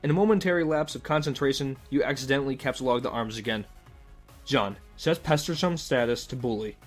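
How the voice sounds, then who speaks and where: A man's voice: mid-range voice, a little fast, faint music behind. A man reading scripted comic narration in the second person, with a wry, mock-formal manner.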